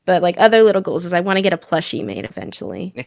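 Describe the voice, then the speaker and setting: A woman with a smooth voice and a smiling delivery, chatting casually as an interview guest. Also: lowish voice, sing-song, rapid-fire, darkish tone, no echo, clean studio sound. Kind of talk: casual chat.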